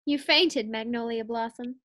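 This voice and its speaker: A woman acting a brisk, affectionate, teasing housewife in a 1940s-style radio sitcom. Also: on the high side, fairly bright tone. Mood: coaxing.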